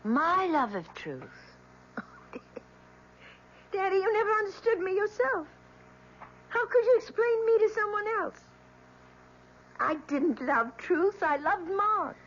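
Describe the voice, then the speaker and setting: An actress playing a professor's emotional young adult daughter, bitter and wounded, in a theatrical old-time radio drama style. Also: female voice, very high-pitched, very animated, takes it slowly, long pauses, fairly bright tone, exaggerated voice. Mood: bitter anguish. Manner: theatrical, intense. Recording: dull, noisy old radio broadcast.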